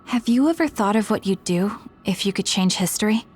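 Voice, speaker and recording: Game heroine: pensive, reserved young fighter, matter-of-fact, middle register, over a music score. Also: female voice, mid-range voice, animated, brisk, breathy edge. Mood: pensive, guarded. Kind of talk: English video game voice acting, dramatic dialogue.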